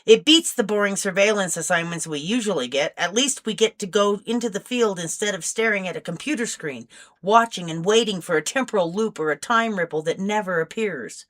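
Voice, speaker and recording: A restless female field agent in an audiobook , voiced by a woman reader, informal and teasing. Her voice is bright and forceful, itching for something beyond routine. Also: medium pitch, a little fast, natural voice. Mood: all business.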